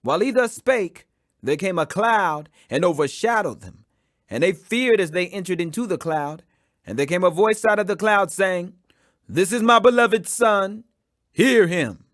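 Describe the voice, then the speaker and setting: A man reading scripture aloud, emphatic and rising in pitch on quoted speech. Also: lively intonation, a little slow, a bit bright, dry recording, studio quality. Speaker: Bible reader.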